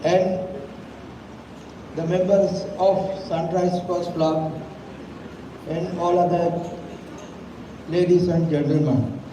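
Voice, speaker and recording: Slow, halting Sri man speaking. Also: on the high side, fairly even intonation. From Sri Lanka. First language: Tamil. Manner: halting, careful English with frequent restarts. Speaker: mosque committee treasurer giving a speech. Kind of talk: formal ceremony speech. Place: large event venue. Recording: echoey hall, distant mic, faint noise.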